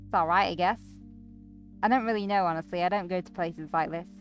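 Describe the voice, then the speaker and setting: A woman playing a gloomy, withdrawn man in a visual novel, with a flat, reluctant, grumbling manner. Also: lowish voice, fairly quick, soft background music, natural voice. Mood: glum, guarded. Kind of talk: first-person visual novel acting.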